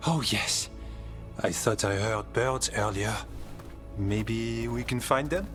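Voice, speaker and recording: An actor playing an earnest, hopeful warden with a sense of wonder in a fantasy video game. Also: male voice, fairly low pitch, takes it slowly. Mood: earnest, reflective. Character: earnest, hopeful male warden who cherishes nature. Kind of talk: video game dialogue acting. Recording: game music underneath.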